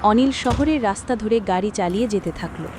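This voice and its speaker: A woman narrating a children's cartoon story in a steady reading manner. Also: mid-range voice, a little fast, background noise.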